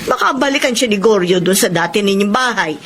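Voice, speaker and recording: A woman's voice: mid-range voice, lively intonation, brisk, close to an everyday voice. An actress playing a practical, caring middle-aged woman in a radio drama. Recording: noisy radio drama recording.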